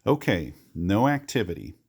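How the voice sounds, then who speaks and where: Low creaky-voiced American man. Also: big pitch swings, normal speed, darkish tone. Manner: explanatory, repeating reminders. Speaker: amateur radio hobbyist giving a demonstration. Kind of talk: casual how-to walkthrough.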